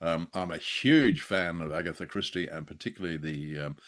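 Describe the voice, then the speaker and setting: A man co-hosting a show, discussing old radio drama casually in a hesitant, halting way. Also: deep voice. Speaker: podcast co-host.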